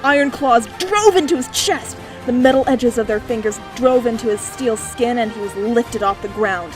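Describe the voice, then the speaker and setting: A woman narrating a dieselpunk noir audiobook with steady, clear storytelling. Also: on the high side, normal speed, music in the background. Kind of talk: scripted narration.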